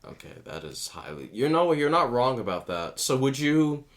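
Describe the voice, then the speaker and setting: A man's voice: medium pitch, big pitch swings, moderate pace. A man co-hosting a casual discussion, asking questions and objecting in a hesitant, self-correcting way. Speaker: show co-host.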